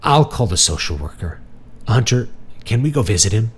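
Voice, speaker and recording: A man's voice: lowish voice, big pitch swings, moderate pace, a little hiss or hum, voice pushed up. A man voicing a resigned but firm father in an audiobook family drama. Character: reluctant, forgiving father who loves his brother.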